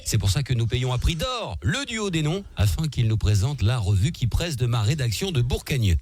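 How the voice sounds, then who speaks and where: A man announcing in a mock-solemn, deadpan way, his pitch roaming widely. There is a light hiss behind him in a radio studio. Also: moderate pace. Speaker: comic co-presenter.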